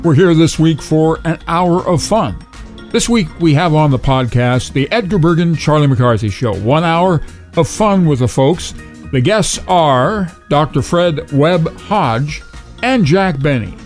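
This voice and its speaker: Man, slightly high voice, podcast host, scripted introduction, music underneath. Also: expressive, unhurried, darkish tone.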